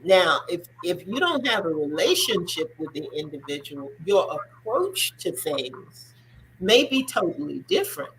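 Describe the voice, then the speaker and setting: A woman's voice: on the low side, very animated, a little slow, slight background noise, poor audio. A woman hosting a faith-based discussion show, speaking earnestly and repeating her points for emphasis. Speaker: talk show host.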